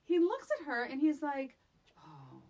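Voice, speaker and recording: A woman's voice: high voice, wildly swooping pitch, unhurried, darkish tone. A woman co-hosting a podcast, casually discussing a film in an emphatic, exasperated way, repeating points for effect. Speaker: podcast co-host.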